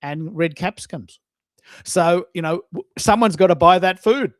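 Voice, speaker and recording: A man's voice: high-pitched, normal speed, no echo. Aussie guy hosting a live coaching chat, really leans on his words, dry room sound. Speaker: host and social media coach. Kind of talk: live coaching talk show.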